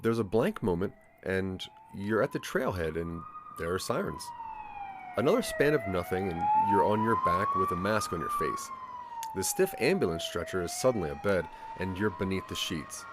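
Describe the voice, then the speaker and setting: A man's voice: mid-range voice, wildly swooping pitch, normal speed, heavy background noise. A man narrating a scripted audio drama in the second person, with a dramatic storytelling manner.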